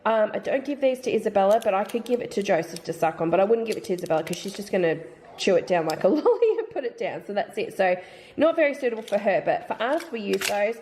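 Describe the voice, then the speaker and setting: A woman's voice: mid-range voice, very animated, quick pace, a little mellow, slight background noise. An Australian woman in her thirties, a mum vlogger chatting casually through a product haul, sounding slightly nasal from hay fever and thinking aloud about prices. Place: home.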